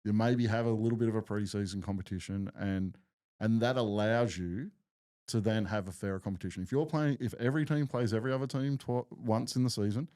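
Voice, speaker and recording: A man talks through his argument on a casual sports podcast. His voice sits somewhat low and he keeps a measured, steady delivery. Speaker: podcast co-host.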